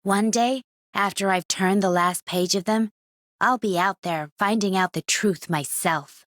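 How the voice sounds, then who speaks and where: A woman's voice: mid-range voice, measured pace, a bit bright, no room sound, lightly acted. A woman voicing a bookish, determined young female explorer in a video game, thoughtful and resolute. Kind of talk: video game character voice lines.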